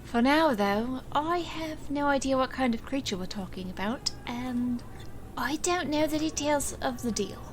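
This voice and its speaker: A woman playing a calm, secretive, dryly protective woman in charge in an audio drama. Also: sits high, a little hiss or hum. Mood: measured, serious. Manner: measured, composed.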